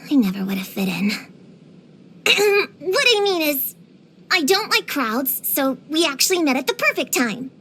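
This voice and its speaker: A woman's voice: high-pitched, very animated, unhurried, long pauses, bright, forceful tone, slight background noise, heavily acted. A woman voicing an earnest, bookish young girl witch hunter in a video game, precocious, proud and slightly dramatic. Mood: sincere, awkward. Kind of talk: scripted game voice lines.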